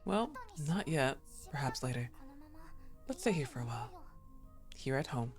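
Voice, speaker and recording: A soft-spoken young woman from a video game, sweetly possessive , read aloud by a woman streaming it. She speaks low and calmly, keeping her voice hushed and coaxing. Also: moderate pace, over music, full character voice.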